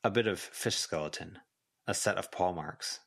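A man's voice: deep voice, normal speed, gravelly, a bit bright, breathy edge. A man reading scripted fiction as a volunteer audiobook narrator.

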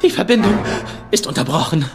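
An actor playing a cocky, playful young fake psychic detective with mock drama in a dubbed comedy crime series. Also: male voice, high voice, lively intonation, brisk, over loud music. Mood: mock-mystical trance.

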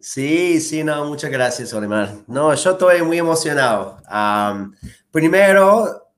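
A man's voice: a little high, animated, slow pace. A man with an American accent, a native English speaker, chatting eagerly as an interview guest, with many fillers. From United States. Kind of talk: casual interview talk.

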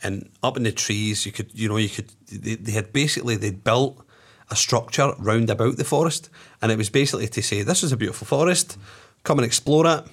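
A man with a Scottish accent chatting casually and telling stories in an animated way, often checking that listeners follow. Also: fairly low pitch, a little fast, few pauses. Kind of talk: casual chat, telling anecdotes.

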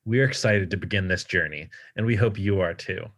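A man's voice: low voice, fairly bright tone. A man in his twenties co-hosting a podcast, reading a scripted intro and then talking casually with many fillers and restarts. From United States. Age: 20s. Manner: halting, many fillers and restarts in free talk. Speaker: podcast co-host.

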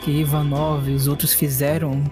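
A man's voice: fairly high pitch, completely flat delivery, moderate pace, music in the background, natural voice. A man playing a firm cowboy from the future in a tabletop roleplay audio drama, emphatic and questioning. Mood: earnest. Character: Billy Boy, firm, objecting cowboy from the future.

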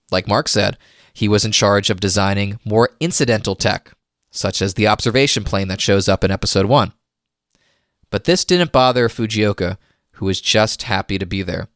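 A man's voice: lowish voice, fairly bright tone, no echo, clean studio sound. A man hosting a podcast, reading a scripted history segment with casual asides. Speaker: podcast host.

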